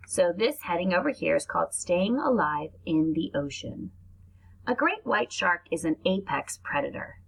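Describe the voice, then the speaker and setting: A woman's voice: fairly low pitch, gravelly, a bit bright, husky, poor audio. A woman reading aloud to young children like a teacher, explaining things and adding asides as she goes.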